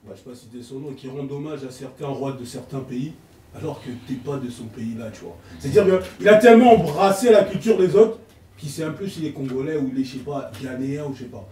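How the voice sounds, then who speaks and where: A man with a medium-pitched voice argues his case in a casual group debate. He explains step by step, recorded a little far from the mic in an echoey room. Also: quick pace, mellow. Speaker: debate panelist. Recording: roomy, distant mic with background noise.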